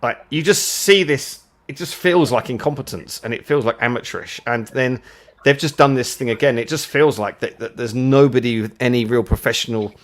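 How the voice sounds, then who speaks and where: A man's voice: mid-range voice, brisk. A man from the UK, co-hosting a casual live chat and rambling as he repeats words while searching for his point. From United Kingdom. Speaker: co-host.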